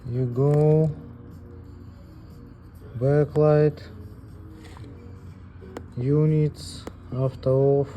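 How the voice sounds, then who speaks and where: Slow, dark-voiced man giving a technical tutorial. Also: mid-range voice. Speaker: presenter of a scale calibration tutorial. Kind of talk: unscripted step-by-step technical demonstration.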